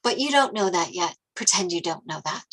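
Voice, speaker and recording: A woman's voice: fairly low pitch, lively intonation, a little fast, a bit bright, a little hiss or hum. A woman narrating stage directions from a screenplay at a table read.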